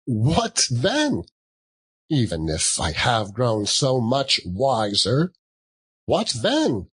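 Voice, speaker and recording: A male narrator takes on a man in the prime of life in a classic storybook. He is a defensive suitor who questions insistently. The voice is husky and swings expressively in pitch. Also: wildly swooping pitch, very slow, full character voice. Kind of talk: dramatic audiobook reading of a classic story.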